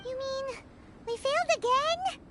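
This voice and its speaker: A woman voicing a small, childlike fairy companion in an anime-style video game, with a squeaky, exclamatory and informal delivery. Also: unhurried, very noisy. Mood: dismayed. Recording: music behind the voice.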